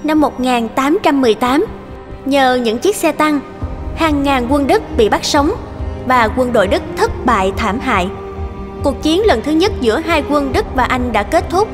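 High-pitched woman narrating over music. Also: lively intonation, moderate pace. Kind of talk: scripted story narration.

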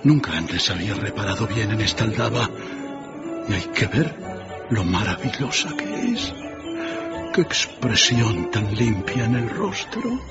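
A repentant old miser in a radio drama. The voice is emotional and exclamatory, swinging widely in pitch. The recording is dull, with music clearly underneath. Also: male voice, big pitch swings, unhurried. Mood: delighted. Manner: exclamatory, emotional, repeats words. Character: repentant old male miser, excitable and fervent. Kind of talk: theatrical radio drama acting. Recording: dull band-limited audio, music underneath.

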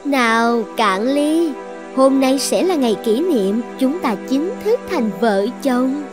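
A high-pitched young enchantress rules her island in a fairy-tale cartoon. She is possessive and sure of herself, sliding between sweet coaxing and threats, with music behind her. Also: lively intonation, takes it slowly. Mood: commanding. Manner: commanding, self-assured.

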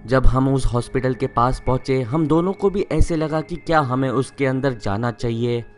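A man's voice: mid-range voice, moderate pace, soft background music. Man narrating a spooky story. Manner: steady storytelling, mixing in English phrases. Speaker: narrator and channel host. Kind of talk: first-person horror story narration.

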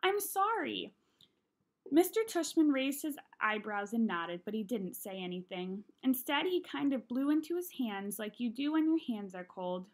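A woman's voice: fairly high pitch, expressive, normal speed, few pauses, darkish tone. A young woman reading a children's novel aloud as narrator, recorded in a small room at home. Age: young adult.

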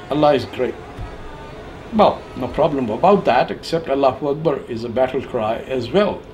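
A man in his sixties giving an opinion monologue to camera, emphatic and sardonic, with deliberate phrasing. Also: high voice, low-quality recording. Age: 60s. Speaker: political commentator. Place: living room with bookshelves, indoors. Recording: clear background noise.

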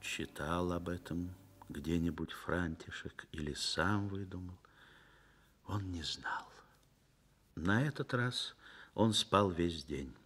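A man narrating a radio drama in a measured, lyrical literary style. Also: sits low, a bit bright, breathy edge.

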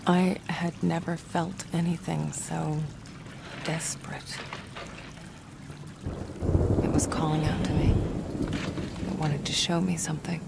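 A woman playing a gentle, protective young psychic in a horror game, speaking in a hushed, earnest way. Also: low voice, flat delivery, slow, vocal fry, long pauses, heavy background noise, full character voice. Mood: somber, reflective. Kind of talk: cinematic horror game dialogue and narration. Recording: loud music and rain behind.

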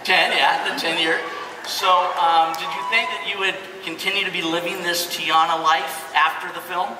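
A man's voice: high-pitched, steady tone, moderate pace. A man hosting an onstage panel, casual and chatty, telling stories in a jokey way. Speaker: panel host. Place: large convention hall stage. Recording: echoey hall PA, distant, noisy crowd.

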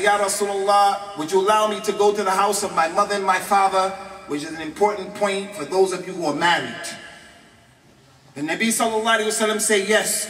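A middle-aged American man preaching a mosque lecture at a stand microphone, animated and mixing Arabic phrases into his English. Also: high-pitched. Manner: animated, gestures widely, mixes in Arabic phrases. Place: large mosque hall, indoors. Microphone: stand microphone, filmed from a distant camera. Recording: echoey hall, distant camera mic.

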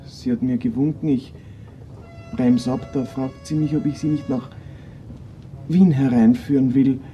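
A man acts out a subdued young suspect in a crime radio play. His voice is mid-pitched and very mellow, over faint music. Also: steady tone, unhurried, lo-fi sound, close to an everyday voice. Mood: subdued confession. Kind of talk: naturalistic radio crime drama acting.

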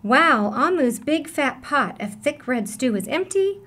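High, lively woman reading a storybook. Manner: animated, deliberate storytelling for young children. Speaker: storybook reader. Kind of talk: expressive children's read-aloud.

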